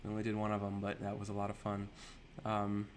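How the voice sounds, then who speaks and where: Relaxed young male host reading a webcam live chat, with a faint background hum. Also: fairly low pitch, fast talker. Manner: easygoing, reads out viewer names and comments. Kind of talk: casual live stream chat. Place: indoor room with a virtual city backdrop.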